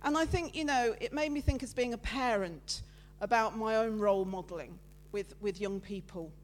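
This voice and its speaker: A woman preaches with a loud, lively voice that sits a little high. She chats freely with the people in front of her and works through slides in an informal sermon. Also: normal speed. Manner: loud, lively, chatty with the congregation. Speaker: preacher giving a talk at church. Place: church meeting room with listeners present.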